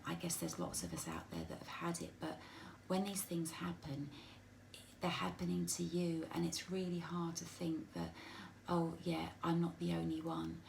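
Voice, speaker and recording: A middle-aged woman with a husky, hoarse voice and a dry throat, speaking softly and haltingly in a personal message to viewers. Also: low-pitched, slight background noise, rough recording. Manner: soft, halting, with many restarts and ums. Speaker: vlogger.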